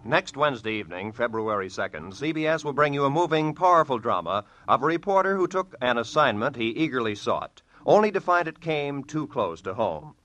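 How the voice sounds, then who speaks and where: An American man announcing on network radio in formal, measured, scripted 1940s style. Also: mid-range voice, big pitch swings, a bit bright. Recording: vintage 1940s radio broadcast with music.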